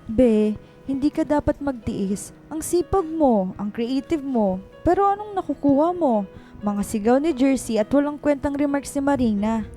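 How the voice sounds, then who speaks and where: A woman's voice: high voice, expressive, normal speed, dark tone. A Filipino woman playing a frank, supportive office friend in conversational radio drama dialogue. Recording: music bed under dialogue.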